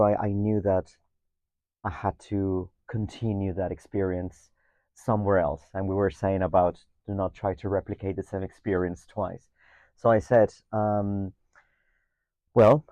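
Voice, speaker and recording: A Mexican man in his thirties speaks as an interview guest, his low voice a little gravelly and mellow. He often stops, repeats words and tags sentences with a questioning "no?". The recording is dry and slightly dull. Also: no echo. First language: Spanish. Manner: hesitant, repeats words, ends with "no?". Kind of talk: reflective conversation.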